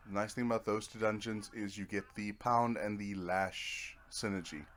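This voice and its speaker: Low-voiced man casually commentating a game. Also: steady tone, normal speed, a bit bright. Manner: rambling, half talking to himself, with playful sound words. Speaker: streamer playing a video game. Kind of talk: casual let's play commentary. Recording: clear background noise from nearby TV. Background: Netflix playing in the background.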